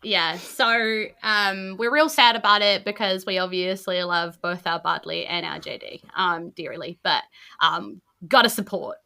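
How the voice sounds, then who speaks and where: A woman with a New Zealand accent speaks as a game master and podcast host. Her voice is bright and forceful at a middle pitch, and she repeats phrases for effect. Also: measured pace, gravelly, clear, resonant tone. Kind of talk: tabletop game narration and casual hosting.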